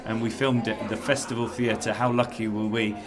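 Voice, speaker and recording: A man's voice: fairly low pitch, little pitch movement, fairly quick, crowd noise. A man working as a children's presenter and director, chatting casually in an interview with an enthusiastic, rambling, self-deprecating manner.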